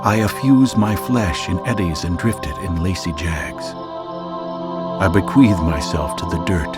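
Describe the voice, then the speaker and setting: A man, a voice artist, gives a dramatic, declamatory poetry reading with long pauses between phrases. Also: low voice. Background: music and cricket soundscape.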